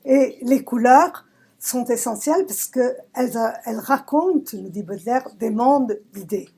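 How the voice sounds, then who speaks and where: A woman from southern Italy, a poet giving a reflective talk about her work, searching for words with emphatic pauses. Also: on the high side, vocal fry, mellow, husky. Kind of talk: reflective talk at a poetry recital. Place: public library event.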